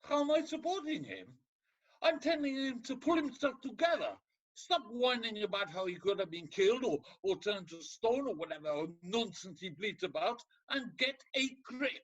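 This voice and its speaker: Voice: mid-range voice, big pitch swings, normal speed, rough recording. An actor playing a scornful, impatient counsellor in a comedic radio play, speaking with sarcastic exasperation. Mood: defiant, impatient. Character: scornful, impatient, unsympathetic ancient Greek counsellor.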